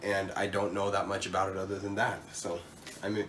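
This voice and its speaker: A man's voice: low voice, monotone, brisk, a bit bright, recorded from a distance, a little hiss or hum. A man with a cold chatting casually about books he bought, stumbling over words now and then. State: has a cold. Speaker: co-host. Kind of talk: casual book haul chat.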